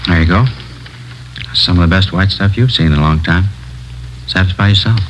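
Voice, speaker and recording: An actor playing a shrewd, cautious drug dealer who runs the deal, slangy and commanding, in a 1950s radio crime drama. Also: male voice, low-pitched, normal speed, close to an everyday voice. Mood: calm, controlling. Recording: noisy old 1950s radio recording.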